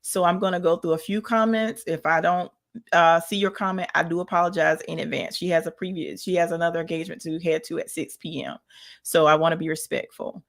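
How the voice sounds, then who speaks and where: A woman's voice: on the low side, steady tone, a little fast, creaky. A woman hosting a live show, speaking politely and reading viewer comments aloud. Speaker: host.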